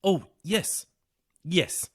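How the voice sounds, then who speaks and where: A man's voice: sits high, animated, very slow, slightly rough, exaggerated voice. An actor playing a lonely, weary Irish man in his thirties in a naturalistic audio horror drama, polite, hesitant and dry in manner. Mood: eager, polite. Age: 30s.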